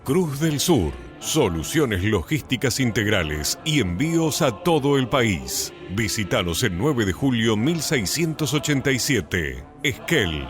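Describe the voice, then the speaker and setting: Slow, lively-pitched male sponsor announcer. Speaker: advertising announcer. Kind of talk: slow scripted sponsor reading. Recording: music bed behind the voice.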